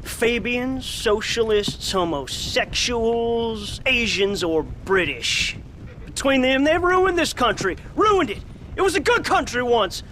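Western game role: old American storekeeper, bigoted crank, shrill and high, folksy righteous ranter. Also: male voice, lively intonation, takes it slowly, pushed and bright, a little hiss or hum, heavily acted, rough recording. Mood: indignant ranting. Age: older. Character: bigoted, paranoid, cranky old frontier shopkeeper. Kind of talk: video game cutscene acting.

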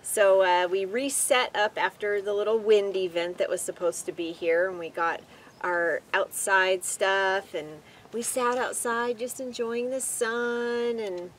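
A woman's voice: medium pitch, steady tone, unhurried. The kind of middle-aged woman you would hear hosting a casual chat from a camp chair outside an RV, with outdoor noise around her. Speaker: vlog host. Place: outdoors in open desert beside an RV.

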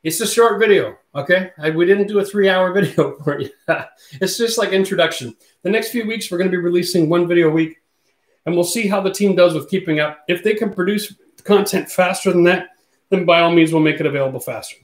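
A man's voice: sits high, a little fast, echoey room. A man in his forties hosting a casual livestream, chatting with viewers and reading their comments aloud. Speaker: channel host. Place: home studio room. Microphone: studio mic on boom arm.

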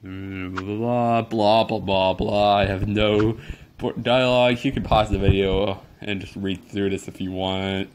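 A man's voice: lowish voice, level tone, measured pace, darkish tone, slight background noise. A young man casually commenting over a game, rambling and joking, mumbling through on-screen text. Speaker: gameplay video maker.

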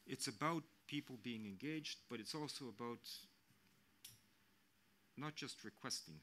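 An older man speaks earnestly into a desk microphone at a panel, his voice bright and forceful. He picks his words with care and often restarts mid-sentence. A faint hum of the hall sits behind him. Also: medium pitch. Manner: measured, earnest, with frequent restarts and fillers. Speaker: international mission official on a panel. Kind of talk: unscripted panel remarks. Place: press center hall.

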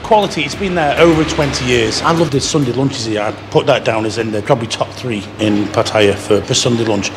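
A man's voice: mid-range voice, brisk, hardly any pauses. A man in his fifties walking city streets and chatting casually as he points out shops and bars for his vlog. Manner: chatty, pointing things out as he walks. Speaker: vlogger walking tour guide. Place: outdoors on a busy city street. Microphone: action camera worn or handheld. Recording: background music under street sound.

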